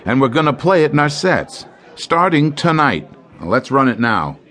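An actor playing a scheming male jazz clarinetist in an audio drama, smooth-talking and sly. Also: medium pitch, sing-song, moderate pace, sharp tone, faint music behind. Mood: curt. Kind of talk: radio drama acting.

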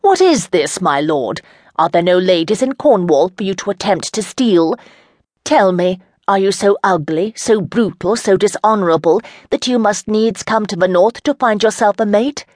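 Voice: mid-range voice, expressive, moderate pace, dry recording, studio quality, pitched above the performer's own voice, close to an everyday voice. A reader voicing a proud young noblewoman who answers with scorn and defiance. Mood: defiant. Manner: scornful, raised and forceful.

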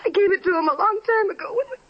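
A tearful grieving widow. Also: female voice, extremely high pitch, racing. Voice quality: tearful, shaky. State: crying. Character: grieving young widow identifying her husband. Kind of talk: 1940s radio acting. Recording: muffled old radio broadcast with hiss.

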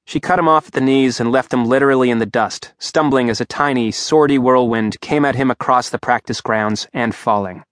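A man's voice: medium pitch, a little fast, few pauses, a bit bright, no room sound. Wry male audiobook narrator. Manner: dry, wry storytelling. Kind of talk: scripted narration. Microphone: studio microphone.

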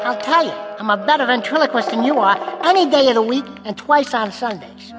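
A man's voice: very high voice, lively intonation, a little fast, over music. A man voicing a small, cocky, wisecracking ventriloquist's dummy with brassy, taunting patter in a radio drama.

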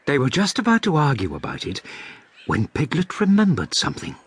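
A man narrating a children's story in a husky, breathy voice, with expressive and measured delivery. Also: fairly high pitch.

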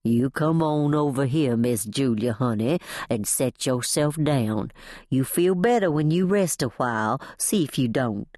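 A woman's voice: very deep voice, lively intonation, measured pace, warm tone, no echo, studio quality. A woman voicing a motherly Southern housekeeper in an audiobook, folksy and coaxing, with a Southern US accent. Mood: soothing, coaxing.

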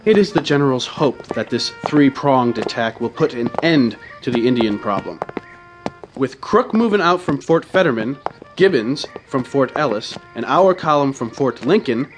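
An actor playing a loyal, firm cavalry captain with formal, measured speech in a radio drama. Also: male voice, mid-range voice, animated, faint music behind, close to an everyday voice. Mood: firm, reproving. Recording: noisy sound-effect background.

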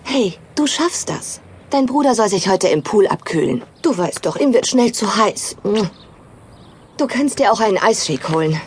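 An actress playing a patient, affectionate mother in an audio drama, gently coaxing. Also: medium pitch, animated, normal speed, slight background noise, lightly acted.

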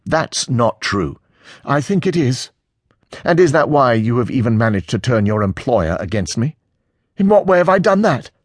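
A man's voice: medium pitch, sing-song, moderate pace, no room sound, clean studio sound, voice pushed up. A man voicing an angry, defensive male character in an audiobook, mocking and aggrieved. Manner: menacing, mocking, indignant.

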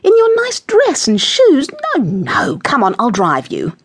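Storybook busybody, older woman played by a female reader: fussy, insistent, gushing, highly animated intonation. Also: unhurried, husky. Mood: bright, nosy. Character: fussy, gossipy older woman neighbour, sugary and sharp. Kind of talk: children's audiobook character voice.

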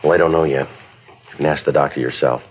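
Deadpan, very deep-voiced radio detective. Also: male voice, fast talker, a little hiss or hum. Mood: calm, official. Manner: deadpan, clipped, matter-of-fact. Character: stoic, businesslike male police detective. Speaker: lead actor and narrator. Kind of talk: 1950s radio police drama acting, clipped narration. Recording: dull 1950s radio recording with music.

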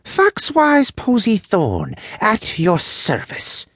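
A man voicing a tiny, proud male elf in a high-pitched storybook voice, with grudging and old-fashioned formal speech. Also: huge pitch swings, takes it slowly, a bit bright, no room sound, studio quality, exaggerated voice. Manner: grudging, archaic formal phrasing. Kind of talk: audiobook character voice.

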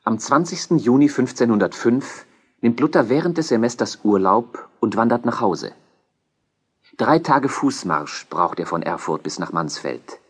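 A man's voice: medium pitch, expressive, moderate pace, husky. A man narrating a scripted historical documentary as a storyteller.

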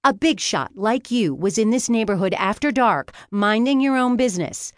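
A woman's voice: medium pitch, lively intonation, measured pace, no echo, clean studio sound, pitched above the performer's own voice, natural voice. A woman voicing a feisty, sarcastic female rookie private investigator, blunt in manner, in an audiobook story. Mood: incensed.

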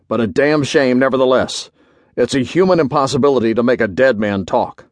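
A man voicing a gruff, short-tempered general and intelligence chief who barks clipped orders in a pulp adventure story. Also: brisk, no room sound, clean studio sound, natural voice. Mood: grim, grudging. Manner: barking, booming, clipped orders.

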